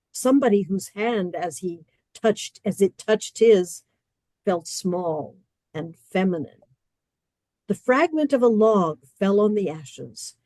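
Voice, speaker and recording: A woman narrating a radio play with measured storytelling and dry comic emphasis. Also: medium pitch, dark tone, no room sound.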